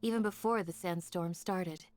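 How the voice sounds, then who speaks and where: A woman playing a gentle but firm village guardian in an anime game, polite and quietly authoritative. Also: lowish voice, moderate pace, natural voice. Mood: firm, composed. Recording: game music behind.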